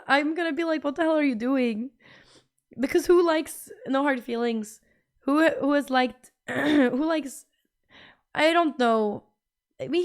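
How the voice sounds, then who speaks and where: Bubbly Swedish woman chatting with her stream. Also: high-pitched. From Sweden. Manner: chatty, bubbly, repeats herself while reading chat. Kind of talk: casual livestream chat reading messages.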